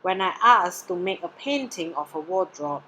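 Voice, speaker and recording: A woman whose first language is Mandarin, reading her art research presentation in a measured, deliberate way. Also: fairly low pitch, lively intonation, sharp tone, husky, rough recording. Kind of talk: read-aloud research presentation.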